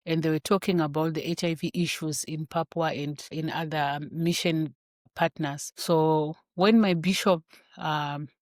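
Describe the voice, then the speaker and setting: A woman from Zimbabwe, a grandmother and physician, telling her story in an interview, with thoughtful pauses and repeated words. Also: deep voice, dry recording, studio quality. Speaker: guest, infectious disease physician.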